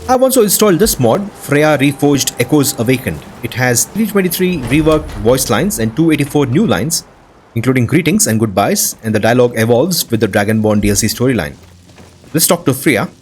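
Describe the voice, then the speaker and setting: A man's voice: mid-range voice, lively intonation, fairly quick, darkish tone, slight background noise. A man hosting gameplay commentary on game mods in an enthusiastic, appreciative way. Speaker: host of a game modding news show. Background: prominent game music.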